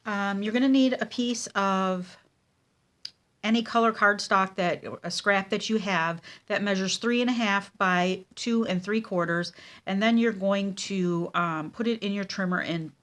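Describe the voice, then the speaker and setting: Congested woman teaching card-making, slow. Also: medium pitch. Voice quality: nasal. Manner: relaxed, with many ums and pauses. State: congested with a cold. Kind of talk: casual craft tutorial. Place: craft table at home.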